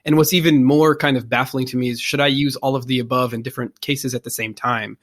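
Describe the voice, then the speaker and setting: A man's voice: medium pitch, fairly even intonation, fast talker. A man hosting a casual interview, asking curious questions and thinking them through aloud. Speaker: interview host.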